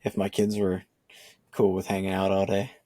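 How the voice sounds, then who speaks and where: A man's voice: deep voice, monotone, moderate pace, mellow. A man in his forties co-hosting a podcast, chatting casually in long rambling runs with frequent restarts. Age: 40s. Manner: rambling, restarts often, laughs easily. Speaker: podcast co-host. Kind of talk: casual chat.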